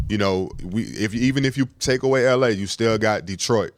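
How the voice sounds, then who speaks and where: A man's voice: lowish voice, fairly quick, no room sound. A man talking as a podcast panelist in a casual back-and-forth debate.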